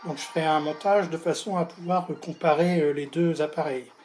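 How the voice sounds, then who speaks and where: A man giving a casual review of a tablet, hoarse and halting, with frequent filler sounds. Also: fairly high pitch, fairly even intonation, darkish tone. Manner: hesitant, many filler sounds. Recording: music clearly playing behind voice.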